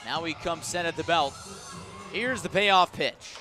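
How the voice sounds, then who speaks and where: A man with a fairly high voice gives live radio play-by-play of baseball. He describes the scene in measured, detailed phrases and gets punchier on the action. Music plays clearly behind him at an outdoor ballpark. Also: pushed and bright, some chatter behind. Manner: descriptive, unhurried between pitches, punchier on the action. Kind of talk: live radio sports commentary.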